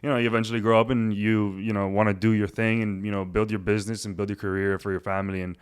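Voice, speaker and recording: A man in his twenties, born in Cuba, talking casually as an interview guest with a relaxed, rambling manner and many fillers. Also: fairly low pitch, fast, clean tone. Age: 20s. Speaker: guest, realtor.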